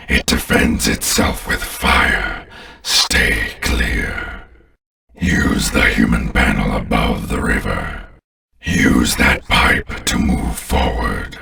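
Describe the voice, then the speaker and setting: A voice actor playing a menacing, commanding alien warlord in a video game, with a deep, gravelly voice. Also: male voice, pushed and bright, far from the mic, heavily acted. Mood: stern, instructing. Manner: commanding, contemptuous, grandiose.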